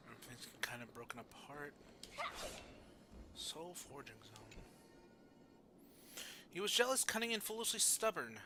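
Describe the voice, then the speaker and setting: A man's voice: medium pitch, big pitch swings, measured pace, long pauses, over loud music. A man streaming a video game, commenting on the play and reading game text aloud.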